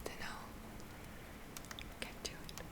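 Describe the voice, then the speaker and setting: A woman playing a gentle, reassuring witch healer in a soft whispered ASMR roleplay, soothing and a little hesitant. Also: slow pace, lightly acted. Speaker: ASMR performer.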